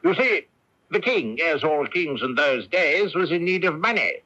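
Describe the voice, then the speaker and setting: Radio play lawyer: pedantic, evasive, bright sharp tone with swooping pitch, on a dull vintage broadcast. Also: male voice, expressive, moderate pace. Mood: pedantic, pleased. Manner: pedantic, hedging, theatrical. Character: fussy, ambitious, evasive barrister explaining law. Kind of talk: radio drama acting. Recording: dull 1980s AM radio recording.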